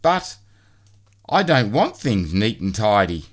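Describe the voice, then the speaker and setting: A man's voice: fairly low pitch, very animated, slow pace. A man voicing a reluctant, miserable messy fellow from a children's storybook, hesitant and protesting.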